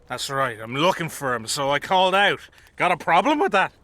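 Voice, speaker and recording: Bright, coarse soldier. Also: male voice, fairly high pitch, animated, fairly quick. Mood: irritated, shouting. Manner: coarse, colloquial, combative. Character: blunt, boozy, grumbling common soldier in a fantasy army. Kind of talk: video game dialogue acting.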